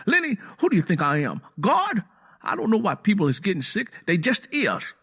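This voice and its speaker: A man's voice: high voice, wildly swooping pitch, moderate pace, a bit bright, breathy edge, voice pushed up, heavily acted. A man voicing a stern, embittered American Southern man with a blunt, defiant rural way of talking, in a narrated story. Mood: dismissive, exasperated.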